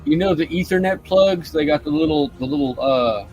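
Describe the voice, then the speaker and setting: A man's voice: on the high side, normal speed, highs cut off, faint music behind. A man co-hosting a live stream, easygoing and making dry quips. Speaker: stream co-host. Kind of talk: casual live stream chat. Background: quiet tune playing.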